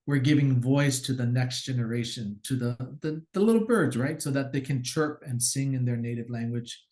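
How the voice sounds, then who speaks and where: A man's voice: medium pitch, normal speed. Hawaiian man telling teaching stories. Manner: relaxed, explanatory, acts out quoted commands. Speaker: guest teacher. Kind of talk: casual storytelling conversation.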